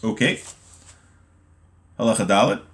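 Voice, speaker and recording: A man's voice: lowish voice, lively intonation, unhurried, fairly bright tone. An American man teaching an informal online religion class, explaining in a conversational way with everyday examples and checking in with the listener. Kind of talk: informal text study, reading aloud then explaining with examples.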